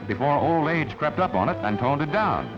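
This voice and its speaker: Male newsreel narrator, fairly high, emphatic, old lo-fi soundtrack with loud music. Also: sing-song. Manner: brisk, emphatic announcer delivery. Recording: dull lo-fi archival sound over loud music.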